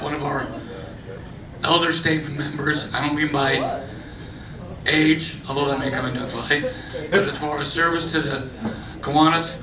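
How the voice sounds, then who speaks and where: Emcee guy losing his voice at a banquet, folksy and jokey, a bit high, echoey room w/ crowd chatter. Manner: relaxed, folksy and joking. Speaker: master of ceremonies. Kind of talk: emceeing a gala program. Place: large banquet hall. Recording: echoey hall, far-off mic, crowd noise.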